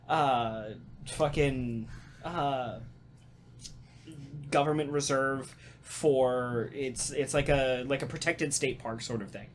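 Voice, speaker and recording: A man chatting casually as a podcast host, telling stories in an animated, halting way with casual swearing. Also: medium pitch, a little hiss or hum. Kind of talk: casual podcast chat and storytelling.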